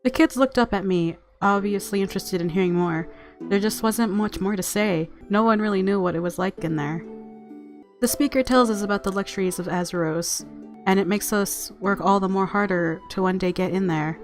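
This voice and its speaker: A woman's voice: medium pitch, moderate pace, faint music behind. A woman narrating a visual novel aloud as a let's-player, mixing story reading with casual commentary.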